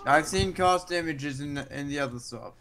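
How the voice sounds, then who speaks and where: A man's voice: fairly high pitch, moderate pace. A man chatting casually over a faintly noisy voice chat microphone. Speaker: participant in a voice chat.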